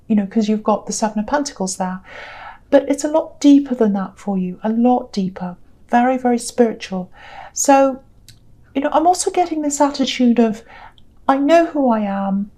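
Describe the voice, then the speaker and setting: A tired woman with a croaky voice giving a gentle, reassuring tarot reading in a casual, rambling way. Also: a little high. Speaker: tarot reader.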